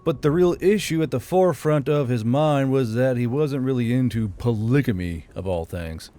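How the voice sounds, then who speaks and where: Male narrator, medium pitch, scripted fantasy storytelling for an audiobook. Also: moderate pace, dark tone.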